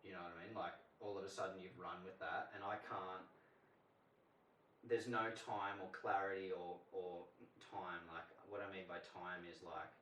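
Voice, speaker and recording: Deep-voiced man, roomy and distant. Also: steady tone, moderate pace, bright, forceful tone. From Australia. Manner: rambling, heavy use of "like". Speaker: podcast co-host. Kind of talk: casual chat. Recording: roomy sound from off mic, faint hiss.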